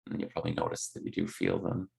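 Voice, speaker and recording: A man leading a guided body scan meditation, speaking gently in unscripted, hesitant phrases. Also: low-pitched, big pitch swings, very fast, vocal fry.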